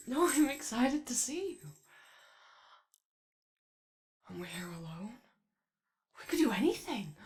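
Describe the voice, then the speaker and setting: Slow, creaky, anxious robot played by a woman. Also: mid-range voice. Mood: delighted, excited. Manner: halting, hesitant, rambling, eager to please. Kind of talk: ASMR character roleplay.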